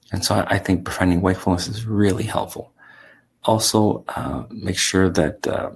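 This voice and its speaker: Gravelly low-voiced man, congested. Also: slight background noise. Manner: relaxed, reflective, with many fillers and restarts. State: sick, congested with sore throat. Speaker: sleep coach. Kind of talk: solo talk to camera.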